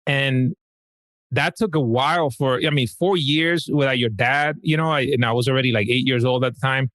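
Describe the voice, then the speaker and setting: A man from the Dominican Republic, a guest on a podcast, telling his story in an easygoing, warm way. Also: mid-range voice, normal speed, dry recording. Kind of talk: casual interview chat.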